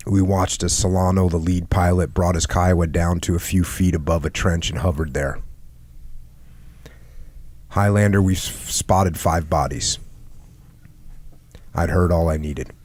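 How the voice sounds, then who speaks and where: Very deep, flat-voiced man reading aloud. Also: measured pace, long pauses. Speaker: podcast host reading a war memoir aloud.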